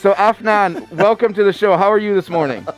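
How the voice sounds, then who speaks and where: A man's voice: high-pitched, brisk, music in the background, poor audio. A male radio host introducing a guest in a chatty, joking way. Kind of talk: casual radio talk.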